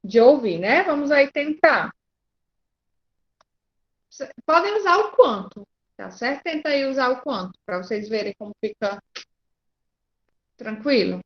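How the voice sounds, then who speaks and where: A woman's voice: sits high, animated, measured pace, pauses often, muffled recording. A woman with a Brazilian Portuguese accent teaching a grammar lesson over a video call, patiently checking in with her students. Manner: patient, checks in often with "né?" and "certo?". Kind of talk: online class.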